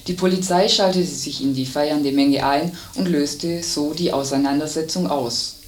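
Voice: mid-range voice, steady tone, measured pace. A news reader delivering scripted radio news. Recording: roomy, distant, hissy radio recording.

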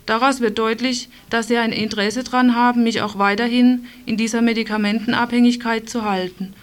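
Woman with a higher-pitched voice reading aloud. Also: steady tone, brisk. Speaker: radio presenter reading out a statement. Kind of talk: reading a written statement aloud.

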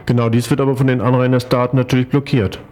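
A man's voice: medium pitch, flat delivery, quick pace, darkish tone. A man working as a radio interviewer, putting questions and remarks in a political interview.

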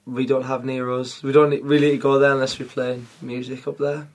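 A man's voice: mid-range voice, level tone, normal speed. A man from England, a band's drummer, chatting in a friendly way during an interview. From North East England. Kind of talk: interview chat.

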